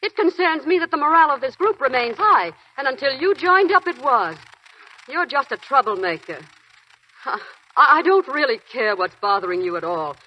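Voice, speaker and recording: A firm senior army nurse, lively and expressive. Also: female voice, high voice, moderate pace. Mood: stern. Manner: teasing, then firm and scolding. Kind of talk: 1940s radio acting. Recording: muffled 1940s radio broadcast with faint music.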